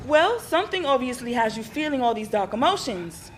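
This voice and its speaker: An actress playing a calm, patient therapist who asks measured questions. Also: female voice, a little high, slight background noise. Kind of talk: stage play acting.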